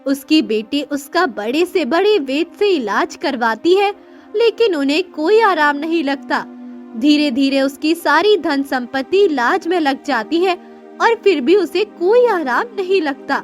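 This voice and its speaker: A woman storyteller narrating a scripted moral tale with expressive storybook delivery. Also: high voice, a little slow, soft background music.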